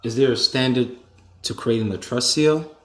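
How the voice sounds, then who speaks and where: A man hosting a conference call, answering a caller's questions in a measured, explanatory way with some restarts. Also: mid-range voice, echoey room, faint music behind.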